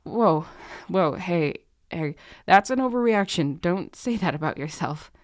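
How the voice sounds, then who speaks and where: Woman acting an unhurried, awkward tutor, close and dry. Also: fairly low pitch, expressive, no room sound. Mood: panicked, apologetic. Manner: halting, awkward, sweary, often sighing and dropping to whispers. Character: harsh, snarky young female tutor turned flustered, guilty comforter. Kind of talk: ASMR romance roleplay voice acting.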